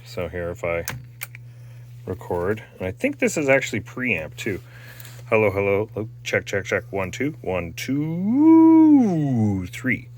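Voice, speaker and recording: A man with a very low voice talks slowly through his hobby repair work. He rambles in a casual show-and-tell way, halting often with fillers and restarts. A faint noise sits behind him. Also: wildly swooping pitch, a little mellow. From Canada. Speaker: hobbyist restoring a tape machine.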